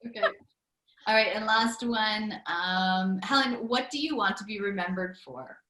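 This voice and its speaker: Woman interview host, enthusiastic, laughs a lot, bright tone, echoey room. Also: medium pitch. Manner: enthusiastic, laughs often, many quick affirmations. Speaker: podcast host. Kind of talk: upbeat interview with quick-fire questions.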